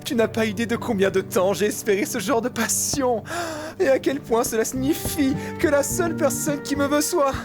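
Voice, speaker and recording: A woman's voice: high-pitched, normal speed, lightly acted. A woman voicing a theatrical male demon prince in a fan-dubbed adult cartoon. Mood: flustered, amorous. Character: lovestruck, theatrical male demon prince, weary married father. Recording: music behind the voice.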